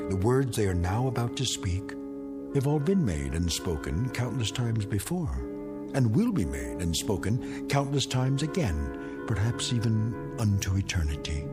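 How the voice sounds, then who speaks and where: A man narrating a suspense radio series in a deliberate, ominous, portentous manner. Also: mid-range voice. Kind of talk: scripted suspense anthology narration. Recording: dramatic music behind the voice.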